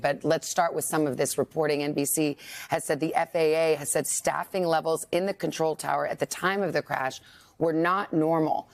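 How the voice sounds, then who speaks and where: An American woman anchoring a morning news interview in a polished, serious and probing manner. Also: low-pitched.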